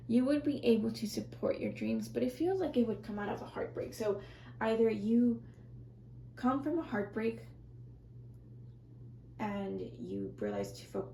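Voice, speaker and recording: A woman with a scratchy, strained voice reading tarot in a casual, rambling way, often correcting herself and speaking softly to viewers. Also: on the high side, vocal fry, roomy sound. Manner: rambling, self-correcting, soft and reassuring. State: scratchy, strained voice, clearing her throat. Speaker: tarot reader.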